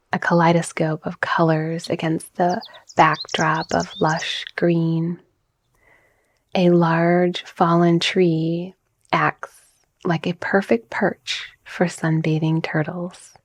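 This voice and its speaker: A woman softly narrating a guided relaxation visualization in a breathy, soothing, measured manner. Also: on the low side. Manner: soft, breathy, soothing, gently measured delivery. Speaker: guided meditation narrator, mindset coach.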